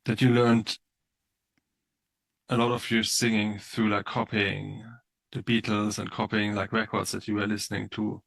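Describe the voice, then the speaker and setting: Low, gravelly-voiced man hosting a podcast. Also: little pitch movement, unhurried. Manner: gentle, encouraging, frequent affirming mm-hmms. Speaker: podcast host. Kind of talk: podcast interview.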